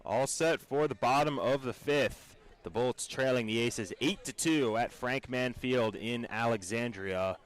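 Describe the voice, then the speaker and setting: A man with an American accent calling baseball play-by-play for radio in a measured, descriptive storytelling way. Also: medium pitch. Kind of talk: radio sports commentary.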